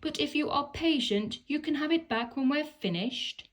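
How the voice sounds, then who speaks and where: A woman's voice: sits high, normal speed, voice pushed up, close to an everyday voice. A woman voicing playful monkeys in a storybook, with a teasing manner.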